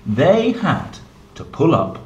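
A man in his thirties reading a picture book to young children, playful and expressive, with chatty asides. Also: on the high side, slow, muffled recording. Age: 30s. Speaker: storyteller, host reading children's books. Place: indoor room, seated at a table. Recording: roomy echo, some background noise.

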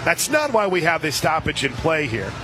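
An American man doing live radio play-by-play commentary of a softball game. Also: a little high, huge pitch swings, moderate pace. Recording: outdoor stadium broadcast with crowd and music. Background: ballpark crowd and music.

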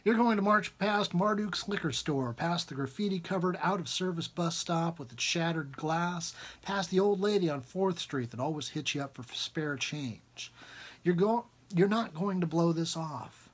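Man, forties, slightly high pitch, raspy-edged husky tone, informal expressive author reading, emphatic pauses, desk mic at home. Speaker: author reading own fiction aloud. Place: small book-lined room at home, indoors.

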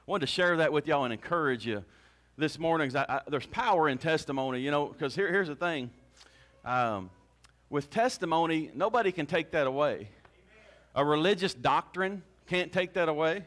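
A man's voice: a little high, fairly bright tone. A man, a pastor exhorting his congregation with fervent restarts, on a handheld mic in a small church. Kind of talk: church service testimony lead-in. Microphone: handheld mic, far camera.

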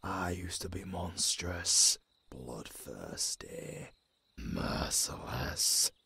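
A British man playing a weary, menacing old werewolf mentor with a gruff, husky growl in a dramatic audio roleplay. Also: low-pitched, expressive, drawn-out words, bright, forceful tone, a little hiss or hum, exaggerated voice. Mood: brooding, grim. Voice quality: gravelly, husky, breathy growl. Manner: brooding, gruff, sweary.

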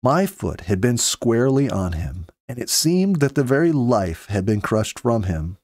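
A man in his fifties reading a story aloud with earnest, measured, dramatic phrasing, close to a studio microphone. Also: mid-range voice, very animated, darkish tone, no room sound. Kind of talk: expressive audiobook-style story narration. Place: small home study, indoors. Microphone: close studio mic with pop filter.

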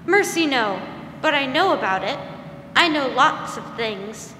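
Young woman as a slow, fragile ghost girl. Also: high-pitched, lively intonation, pushed and bright, full character voice. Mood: quaint, mysterious. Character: fragile, mysterious twelve-year-old ghost girl. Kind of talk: 1940s radio drama acting. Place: school theater stage. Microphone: stand microphone, masked performer. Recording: echoey theater hall, faint noise.

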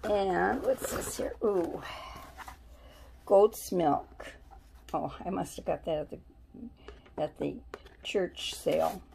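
A woman's voice: lowish voice, takes it slowly, creaky, pauses often, warm tone, slight background noise. A woman at home showing her soap haul on camera, chatting and musing aloud as she reads labels. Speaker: vlogger. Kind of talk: casual shopping haul show-and-tell. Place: at home, indoors.

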